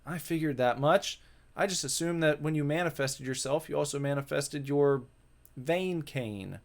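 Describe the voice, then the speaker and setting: A man's voice: fairly high pitch, moderate pace, creaky. A man voicing a blunt, teasing friend in a visual novel.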